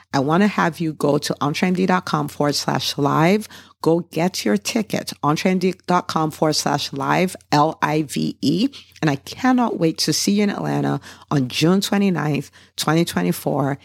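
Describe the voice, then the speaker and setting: A woman's voice: low voice, moderate pace, vocal fry, dry recording, clean studio sound. A woman hosting a podcast in an upbeat, promotional style, speaking emphatically and directly to listeners. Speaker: podcast host.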